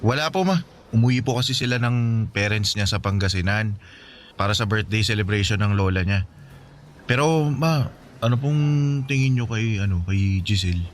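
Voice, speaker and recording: A man's voice: lowish voice, moderate pace, pauses often, slight background noise, lightly acted. A man playing a respectful, lovestruck young adult son in a naturalistic radio drama. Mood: polite.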